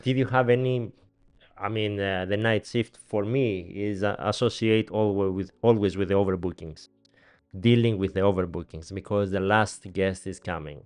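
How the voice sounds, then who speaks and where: A man's voice: on the low side, steady tone, normal speed, darkish tone, clear, resonant tone, no echo. A man in his thirties with a Greek accent, hosting a casual podcast interview in a small studio, chatty and laughing often. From Greece. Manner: chatty, laughs often, non-native grammar. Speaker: podcast host. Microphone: studio mic on boom arm, headphones.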